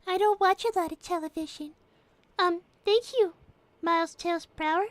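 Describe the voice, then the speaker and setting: A woman's voice: very high voice, normal speed, no room sound. A woman voicing a young girl rabbit, a prim, indignant schemer who speaks politely and formally in improvised cartoon roleplay. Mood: prim, curious. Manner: polite, prissy, formal wording.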